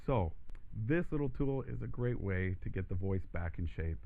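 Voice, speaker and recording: A man teaching a voice lesson, explaining steps calmly and steadily, his voice croaky from allergies. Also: lowish voice, moderate pace, narrow, tinny audio. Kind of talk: instructional demonstration.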